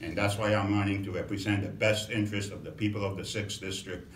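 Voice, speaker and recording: A middle-aged man from Romania, a congressional candidate, giving a measured campaign introduction with frequent pauses and fillers. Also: lowish voice, level tone, a little hiss or hum. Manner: measured, halting, many fillers. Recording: echoey room, mic at a distance.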